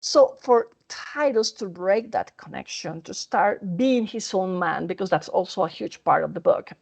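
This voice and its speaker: An earnest woman author talks about her writing in an interview over a video call. She speaks in halting bursts and often restarts her sentences. Her voice sits at an ordinary middle pitch. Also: animated, creaky, darkish tone, husky. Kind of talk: interview answers.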